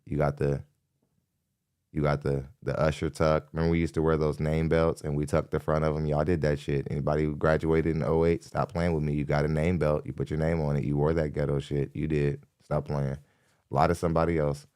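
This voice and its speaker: A man's voice: very deep voice, level tone, a little fast, a little mellow, clean tone, no room sound, clean studio sound. A man hosting a podcast, giving blunt, mocking live reaction commentary with laughs and repeated jabs. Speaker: podcast host reacting to a clip.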